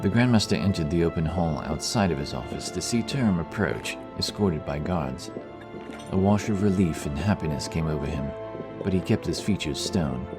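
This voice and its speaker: A man's voice: low-pitched, lively intonation, moderate pace. A man reading scripted narration for a fantasy audiobook. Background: music.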